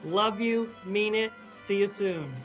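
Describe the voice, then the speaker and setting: Casting: male chat host, effusive and halting, casual interview style, music in the background. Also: high voice, a bit bright.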